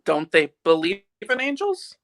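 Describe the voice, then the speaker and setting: A man's voice: high voice, huge pitch swings, measured pace, a bit bright, exaggerated voice. A man playing an innocent, centuries-old guardian angel with a quaint, earnest manner in a live radio play reading. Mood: guileless.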